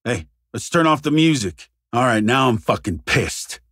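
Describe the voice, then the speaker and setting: Casting: a jaded tactical cop for a shooter game, husky and rough, casual wisecracks, lively pitch movement, very dry recording. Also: male voice, moderate pace, dry recording. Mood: wry, incredulous. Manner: casual, muttered wisecracks with swearing. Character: jaded male police SWAT officer, wry and foul-mouthed. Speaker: video game squad member. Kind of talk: video game character barks.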